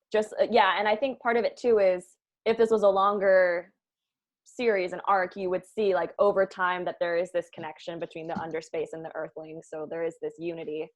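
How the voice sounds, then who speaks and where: A woman's voice: on the low side, fairly even intonation, moderate pace. Casual woman leading a group discussion. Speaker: writer leading a script table read.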